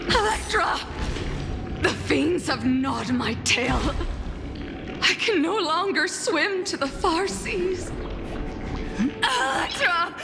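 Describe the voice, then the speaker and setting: A woman's voice: high voice, takes it slowly. A woman voicing an anguished, dying sea siren in a video game, plaintive and poetic in manner. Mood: anguished, crying out. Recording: long reverberant hall, music behind.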